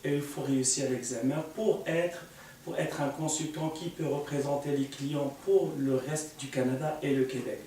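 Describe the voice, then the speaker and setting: A man's voice: on the high side, steady tone, moderate pace, mellow, a little hiss or hum. A man from Morocco, an immigration consultant, earnestly explaining on a studio panel step by step, with many fillers. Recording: echoey room, mic far off.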